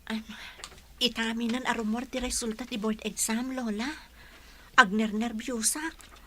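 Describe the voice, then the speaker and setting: A woman's voice: a little high, fairly even intonation, measured pace. A young woman playing a hopeful college graduate and aspiring teacher in an Ilocano radio drama. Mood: nervous. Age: young adult.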